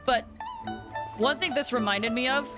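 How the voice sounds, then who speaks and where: A woman's voice: on the high side, a little slow. A woman livestreamer giving casual, chatty, rambling reactions to a video game. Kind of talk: casual game stream commentary. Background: game music.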